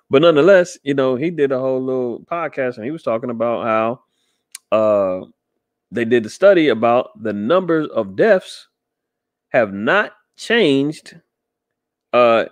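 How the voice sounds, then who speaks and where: A man's voice: mid-range voice, no echo. A middle-aged man giving casual, skeptical commentary on a news article, rambling with many fillers and restarts. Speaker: podcast host commenting on news.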